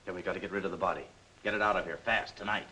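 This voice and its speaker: A hard-nosed bully in a forties crime picture. His voice is low and he talks fast, barking curt, threatening orders. It has the sound of an old film soundtrack. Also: steady tone, bright, forceful tone, slight background noise, poor audio. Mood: forceful, bullying. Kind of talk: 1940s crime film acting.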